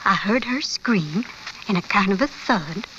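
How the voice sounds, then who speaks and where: Meek maid, muffled old radio recording. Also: female voice, mid-range voice, lively intonation, unhurried, pushed and bright, slight background noise. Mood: uneasy, plain. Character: plain-spoken former maid, meek and loyal. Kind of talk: 1940s-50s American radio drama acting.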